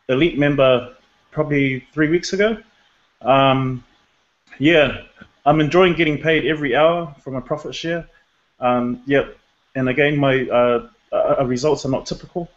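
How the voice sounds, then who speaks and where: A man's voice: mid-range voice, highs cut off. Hesitant man from Tonga on a call. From Tonga, raised in New Zealand. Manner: hesitant, filler-heavy. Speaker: guest member. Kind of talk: casual testimonial.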